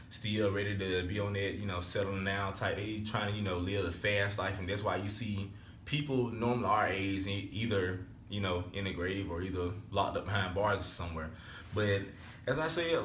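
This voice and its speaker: A twenty-one-year-old man with a drawl talks in a relaxed, rambling way, leaning on "so therefore" between thoughts. His voice sits a little low. The sound is echoey, recorded on a camera mic in a small room at home. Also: slight background noise. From Alabama, US. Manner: laid-back, rambling, repeats "so therefore". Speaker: vlogger. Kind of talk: casual chat.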